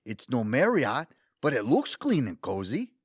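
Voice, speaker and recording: A man's voice: mid-range voice, huge pitch swings, unhurried, slightly rough, no room sound, clean studio sound, full character voice. Man playing an eager, swooping Brooklyn sweetheart. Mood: cheerful, reassuring. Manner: enthusiastic, folksy, colloquial. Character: eager, sweet, older Brooklyn man wooing his date. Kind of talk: audio theatre comedy acting.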